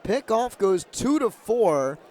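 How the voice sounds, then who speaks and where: A man doing live radio play-by-play of a baseball game, describing the action in short, clipped, measured phrases. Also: high voice, sing-song, darkish tone, some chatter behind. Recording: clear outdoor ballpark noise behind.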